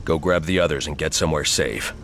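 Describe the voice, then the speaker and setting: A man playing a grim, brooding vigilante hero in a video game, gravelly, terse and deadpan with quiet menace. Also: extremely low pitch, fast talker, loud music behind the voice. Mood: commanding.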